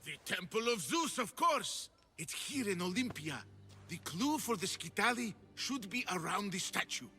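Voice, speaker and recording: Game role: effusive sculptor, high bright voice, swooping delivery. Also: male voice, very animated, moderate pace, faint music behind, heavily acted. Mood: delighted. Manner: theatrical, effusive, flowery. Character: excitable, flowery male artist of ancient Greece. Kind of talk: video game cutscene acting.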